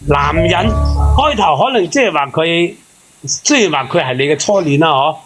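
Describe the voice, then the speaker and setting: A man speaks Hong Kong Cantonese in a fairly high register. He explains his view at length in an earnest, lecturing way, as a panel member advising a caller. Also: lively intonation, moderate pace, over music. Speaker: panel member giving relationship advice to a caller. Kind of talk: casual advice talk on a call-in show.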